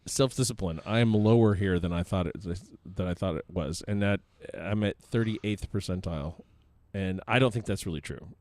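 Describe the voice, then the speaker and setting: A man co-hosting a podcast, chatting casually and haltingly with fillers and restarts. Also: low-pitched, a little mellow, no room sound. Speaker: podcast co-host. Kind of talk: casual chat.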